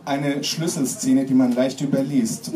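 A man in his forties from Germany reading his comic essay live with deadpan, theatrical mock gravity. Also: medium pitch, measured pace. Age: 40s. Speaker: author reading his own satirical text. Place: small pub-like room with audience, indoors. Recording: roomy, distant mic, clearly noisy background.

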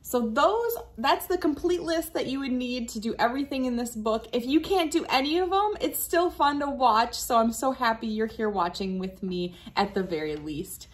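Animated woman reading aloud to children. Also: a little high, normal speed, hardly any pauses. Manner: encouraging, addressing young viewers directly. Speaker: host reading a children's science book aloud. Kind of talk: read-aloud and hands-on demonstration for children. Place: small wood-panelled room indoors, by a window. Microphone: device camera at about arm's length.

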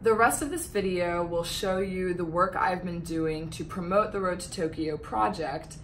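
A woman's voice: on the low side, a little hiss or hum, poor audio. Upbeat young woman vlogging to camera. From Canada. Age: 20s. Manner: upbeat, talks straight to camera. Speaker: vlogger and Olympic swimmer. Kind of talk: casual vlog narration. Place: indoors, talking to the camera.

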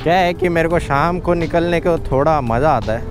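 A man's voice: on the high side, moderate pace. A young man, chatting casually while riding a motorbike outdoors and dropping in English phrases, recorded on a bike-mounted action camera. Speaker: motorcycle vlogger. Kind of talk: casual ride commentary. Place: outdoors on a moving motorbike on highways and town roads. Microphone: helmet or bike-mounted action camera. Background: background music.

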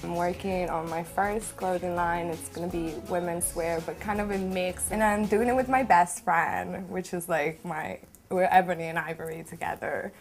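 A woman's voice: fairly low pitch, steady tone, moderate pace. A woman in her twenties from Italy, chatting breezily in a seated interview in a bedroom, with lots of "like". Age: 20s. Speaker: reality show cast member. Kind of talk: casual confessional interview. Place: bedroom, indoors. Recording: music underneath.

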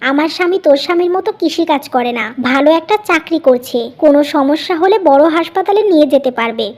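A resentful young wife from a family cartoon, played by a woman. Her voice is high, and she speaks curtly and accusingly, with a selfish edge. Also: lively intonation, fast, a little mellow. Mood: bitter, dismissive. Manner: curt, accusing.

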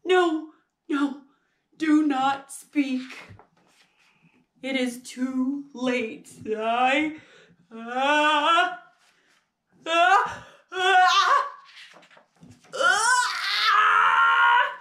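A woman voicing a male storybook elephant, anxious and melodramatic, with drawn-out theatrical exclamations. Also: sits high, steady tone. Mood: tearful despair. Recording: roomy, echoing sound.

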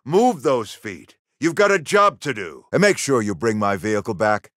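An actor playing a stern, gruff middle-aged army general in a video game, giving orders and briefings in a commanding, clipped military manner. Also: male voice, medium pitch, big pitch swings, normal speed, fairly bright tone, no room sound, clean studio sound. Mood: impatient.

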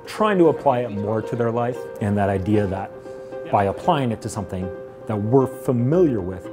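A man's voice: on the low side, animated, normal speed. Male science teacher, music behind. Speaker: high school science teacher. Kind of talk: teaching and interview talk.

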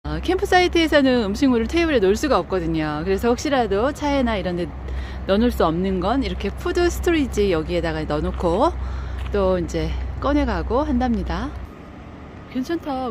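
A woman's voice: mid-range voice, very animated, fairly quick, darkish tone. A woman vlogging as she tours a campground, chatty and exclaiming often, recorded outdoors on a phone. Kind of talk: casual vlog narration. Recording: outdoor phone audio with clear background noise.

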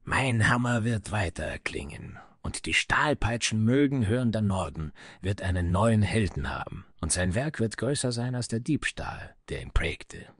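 A man's voice: on the low side, animated, moderate pace, few pauses, fairly bright tone, no echo, clean studio sound. A man playing a proud, vengeful dwarf smith from the icy north, speaking in solemn, grandiloquent phrases in a fantasy audio story. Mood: defiant.

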